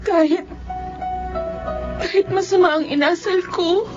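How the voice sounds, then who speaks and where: A woman playing an earnest, soft-hearted grown daughter in a Filipino radio drama. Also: very high voice, fairly even intonation, measured pace. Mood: hesitant, remorseful. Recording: dull lo-fi audio with music.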